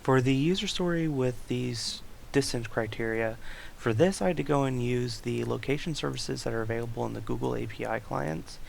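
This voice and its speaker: A young man, a student, talks through his software project over a screen recording, reading requirements aloud and explaining his code step by step with occasional fillers. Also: mid-range voice, normal speed, creaky, a little hiss or hum. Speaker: student presenter.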